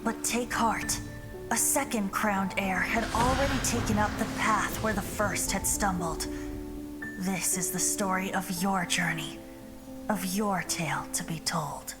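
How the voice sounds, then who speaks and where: Slow, solemn woman as female fantasy storyteller. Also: medium pitch, lightly acted. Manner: solemn, deliberate. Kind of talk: scripted dramatic narration. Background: loud music.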